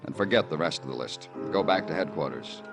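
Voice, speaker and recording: An American actor playing a terse, insistent New York police detective in a 1950s radio crime drama. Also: male voice, a bass voice, lively intonation, fairly quick, music in the background. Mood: terse, businesslike. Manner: clipped, insistent questioning. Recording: hissy old radio recording.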